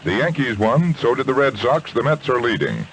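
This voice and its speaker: A man's voice: mid-range voice, very animated, moderate pace, fairly bright tone. An American man anchoring a scripted radio newscast on a 1970s AM station. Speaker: news anchor. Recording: noisy 1970s AM radio aircheck.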